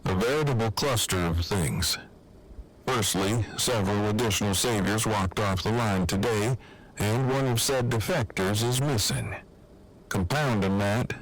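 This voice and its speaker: Formal, verbose male survivor on a walkie-talkie, low-pitched, earnest, precise male actor, audio drama. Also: low-quality recording. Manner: stiff, verbose, precise diction. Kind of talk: fan audio drama acting.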